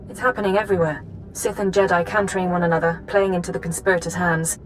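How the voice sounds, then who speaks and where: A woman playing a grave, driven intelligence chief in a sci-fi game, briefing in a measured, formal way. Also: lowish voice, steady tone, a little hiss or hum, lightly acted, rough recording. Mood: grave, determined. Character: grave, driven female intelligence chief, controlled under strain. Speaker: mission handler in a sci-fi game. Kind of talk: dramatic game voice acting.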